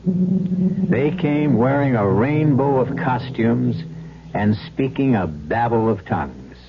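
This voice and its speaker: A man narrating an old radio drama as host, in a measured storytelling manner. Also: mid-range voice, animated, background noise. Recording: dull vintage radio recording.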